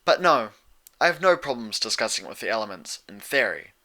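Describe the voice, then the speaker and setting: A man plays a royal pony ruler in a storybook story, a patient elder sister. Her voice is very bright and lifted a little in pitch. She speaks in measured, formal sentences and keeps herself guarded. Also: big pitch swings. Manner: measured, formal, reflective phrasing. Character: composed, regal elder pony sun princess, patient and guarded.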